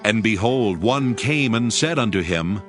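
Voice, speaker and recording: Lowish, expressive male scripture narrator. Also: measured pace, music in the background.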